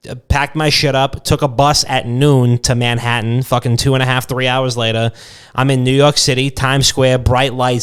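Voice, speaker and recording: Clear-voiced young guy telling irreverent stories. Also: medium pitch, fairly bright tone. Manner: irreverent, swearing, jokey. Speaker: podcast co-host. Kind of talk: casual comedic podcast banter and storytelling.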